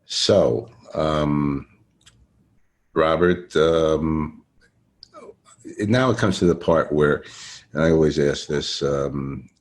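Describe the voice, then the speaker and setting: Very deep, husky male podcast host. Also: slow, pauses often. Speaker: podcast host interviewing a guest. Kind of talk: informal interview with hesitant, repetitive sign-offs.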